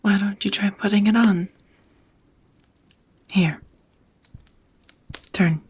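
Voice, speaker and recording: A woman playing a centuries-old vampire roommate in an intimate ASMR roleplay, tender and melancholy, whispering softly with hesitant, formal wording. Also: mid-range voice, steady tone, slow. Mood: gentle, shy. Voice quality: breathy, whispery, soft.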